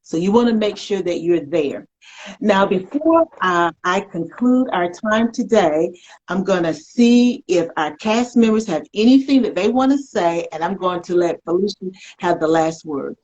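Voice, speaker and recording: A woman hosting a live online interview show with an emphatic, deliberate, announcer-like delivery. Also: fairly low pitch, expressive, darkish tone. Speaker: show host. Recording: slightly dull, lo-fi stream.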